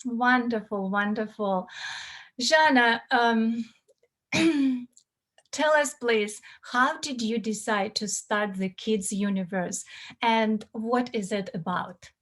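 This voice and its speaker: A woman's voice: on the high side, takes it slowly, fairly bright tone. A woman from Russia hosting an interview, asking questions in a gracious, welcoming way. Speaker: interview host.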